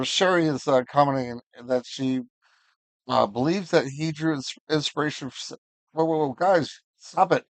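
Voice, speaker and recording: A man's voice: on the high side, dry recording. A man hosting an online music session in a casual, hesitant, apologetic way. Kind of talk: casual live chat.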